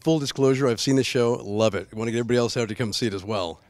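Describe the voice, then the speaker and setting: A man's voice: medium pitch, very fast, darkish tone, no echo. A man hosting an upbeat, casual interview in a chatty, complimentary way. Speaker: interviewer, host.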